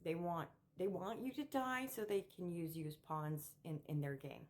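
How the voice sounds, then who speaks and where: Middle-aged female vlogger, low register, unscripted commentary, emphatic and opinionated with halting, repetitive phrasing. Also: darkish tone. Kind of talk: unscripted opinion monologue to camera.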